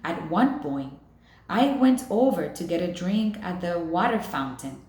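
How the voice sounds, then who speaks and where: A woman's voice: fairly low pitch, animated, a little slow, darkish tone, roomy sound. A woman in the role of a teacher, reading a children's novel aloud and talking directly to listeners. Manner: addresses listeners directly with questions. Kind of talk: storybook read-aloud with comments to students.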